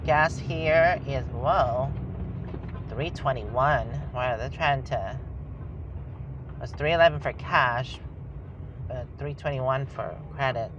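A man's voice: mid-range voice, takes it slowly, long pauses, lo-fi sound. A man hosting a casual travel vlog, chatting and thinking aloud as he drives, recorded inside a vehicle with road noise. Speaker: host.

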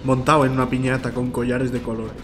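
A man's voice: medium pitch, flat delivery, fast. A man chatting with friends while gaming, playful and full of mock insults. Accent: Valencian Spanish. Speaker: friend on voice chat. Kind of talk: casual chat while gaming.

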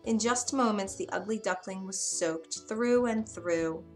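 A woman narrates a children's bedtime fairy tale with medium pitch. Her delivery is expressive and deliberate, and music plays behind her. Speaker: storyteller.

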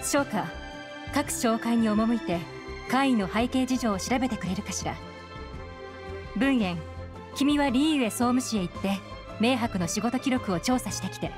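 A woman plays a young female spymaster in a game, cool and composed. Her voice sits fairly high, and she gives orders with calm self-assurance over background music. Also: normal speed, close to an everyday voice. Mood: businesslike.

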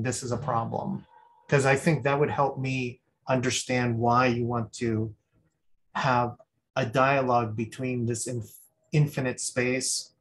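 A man's voice: medium pitch, poor audio. Rambling male critic on a video call. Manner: rambling, thinking aloud with many restarts. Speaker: guest critic at a design review. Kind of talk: spoken feedback on a student project.

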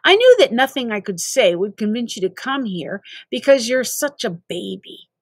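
A woman plays a cocky young boy from a storybook, mad about video games. The voice is high and breezy, full of enthusiasm and always persuading. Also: big pitch swings, moderate pace, no echo, studio quality. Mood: eager, boastful.

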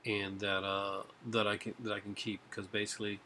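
A man's voice: lowish voice, little pitch movement, a little fast, sharp tone, a little hiss or hum. A man in his forties chatting casually to a webcam at home about his disc collection, rambling with many fillers and restarts. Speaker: collector vlogger. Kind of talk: casual chat to camera. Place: home room with shelves of discs. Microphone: webcam at desk.

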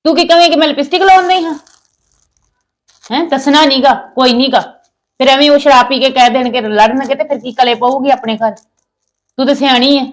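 A woman's voice: sits high, fairly even intonation, brisk, long pauses, close to an everyday voice, rough recording. A woman playing a married sister-in-law who coaxes, lectures and gives orders. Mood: lecturing. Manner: coaxing, lecturing. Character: married sister-in-law, soothing yet bossy. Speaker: actor in a family skit.

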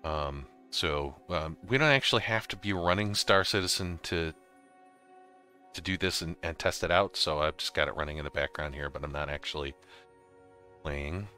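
A man narrating a casual gaming software tutorial, explaining things informally step by step. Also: very deep voice, normal speed, soft background music. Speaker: host of a gaming tutorial series. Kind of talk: casual software tutorial narration over slides and screen capture.

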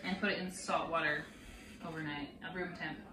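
A woman helping a friend in a home kitchen, giving short relaxed replies. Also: low-pitched, fairly quick, roomy sound, far from the mic, background noise.

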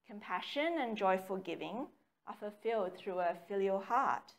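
A young woman reading a prepared talk in a measured way, recorded by a camera across a small meeting room. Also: medium pitch, expressive. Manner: measured, reads from notes with occasional ums. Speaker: speaker giving a dharma talk. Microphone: far camera, no mic seen.

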